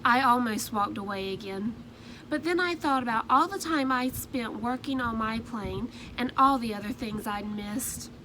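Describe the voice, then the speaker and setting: A woman reading the part of a timid male egg who tells his own story in soft, earnest first-person storybook narration. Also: fairly high pitch, moderate pace, slight background noise, rough recording. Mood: nervous.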